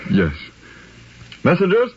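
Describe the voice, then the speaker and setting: An actor playing an anxious American officer of the Revolutionary War in a 1950s radio drama. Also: male voice, high-pitched, wildly swooping pitch, takes it slowly, husky, heavily acted. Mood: anxious, watchful. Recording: dull old radio recording.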